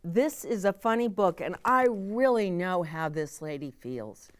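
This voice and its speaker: A middle-aged woman reads aloud for young children at storytime. Her pitch swings expressively and her pace is slow. She adds simple, chatty explanations, and the sound is close and dry. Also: expressive, no echo.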